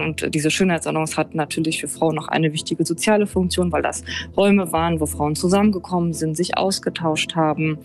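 A woman from Germany, a journalist and guest, giving thoughtful, explanatory interview answers with some hesitations. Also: fairly low pitch.

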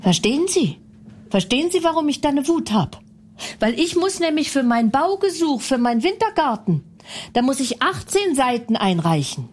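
A woman's voice: high voice, sing-song, moderate pace, slight background noise. A woman in a comedy sketch, talking bluntly and brashly, ranting, mocking the other person and laughing often.

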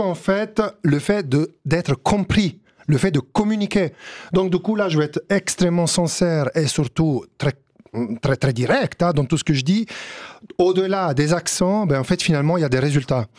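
A man's voice: on the high side, a little mellow. A man with an Italian accent speaking as a guest on a radio talk show, earnest and direct, with frequent fillers. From Italy. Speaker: guest, engineer and HR consultant. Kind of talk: radio discussion.

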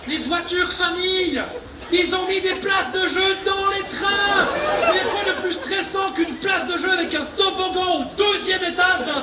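A man performs stand-up at a high, strained pitch, punching out his jokes loudly. He is caught from far away in a roomy theatre. A loud crowd and steady noise sit under him. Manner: loud, punchy delivery with quick put-on voices. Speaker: comedian on stage. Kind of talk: stand-up comedy set. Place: theatre stage.

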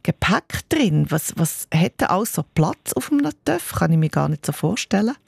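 A woman's voice: fairly low pitch, very animated, moderate pace, gravelly, breathy edge. A woman with a Swiss German accent hosting a radio quiz, chatty and upbeat as she asks her guest questions.

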